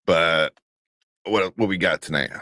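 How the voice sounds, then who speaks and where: A man with a very deep voice co-hosting a casual livestream show, laid-back and chuckling, rambling with fillers. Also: measured pace, bright, forceful tone, no echo, clean studio sound. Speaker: co-host.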